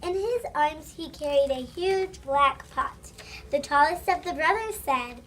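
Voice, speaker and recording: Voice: high voice, lively intonation, measured pace, fairly bright tone. A child reading scripted storybook narration aloud. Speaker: student narrator. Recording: faint room noise.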